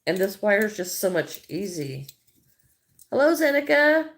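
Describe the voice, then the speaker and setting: A woman's voice: mid-range voice, big pitch swings, unhurried. Middle-aged woman, Midwestern accent, casual livestream chatter, greets viewers, thinks aloud while crafting. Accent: Midwestern American. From American Midwest. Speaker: crochet livestreamer.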